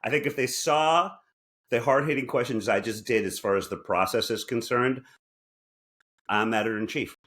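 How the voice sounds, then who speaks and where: A man's voice: mid-range voice, moderate pace, fairly bright tone. A man co-hosting a podcast in casual, joking banter with a teasing manner. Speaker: podcast co-host; walking tour guide.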